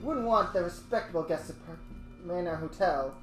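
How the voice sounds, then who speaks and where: A woman's voice: on the low side, moderate pace. A woman co-hosting a podcast table read of a comic, reading her parts plainly and explaining lines. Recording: roomy with faint music.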